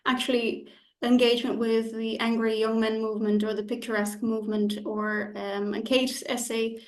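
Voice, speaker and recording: Female academic editor, fairly high voice, careful explanatory delivery, very roomy sound. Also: little pitch movement, moderate pace. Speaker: academic journal issue editor. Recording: echoey room sound.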